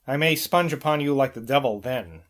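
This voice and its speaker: A sly, penniless young rogue from a storybook voiced by a male reader, blunt and insistent. He talks like a conspirator and a romantic sponger, in a mid-pitched voice. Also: normal speed, a bit bright, natural voice. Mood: fierce, malicious.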